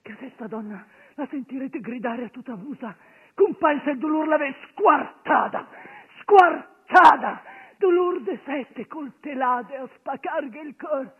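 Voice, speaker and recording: A woman's voice: high-pitched, measured pace. An actress playing a desperate, pitying peasant woman at the crucifixion in a theatrical medieval lament, in an old northern Italian dialect of the Po Valley. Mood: anguished wailing. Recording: muffled radio broadcast of a stage performance.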